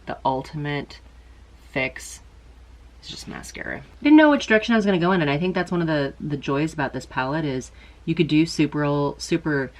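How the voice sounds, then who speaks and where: Low-voiced, congested woman chatting makeup. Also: expressive, a little fast. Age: middle-aged. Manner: chatty, rambling, self-deprecating. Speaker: beauty vlogger. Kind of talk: casual makeup tutorial chat.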